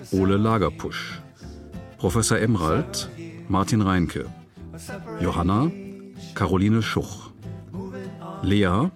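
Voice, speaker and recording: A man announcing the cast and credits of a radio drama in a measured, formal, scripted manner. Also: low voice. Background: acoustic guitar music.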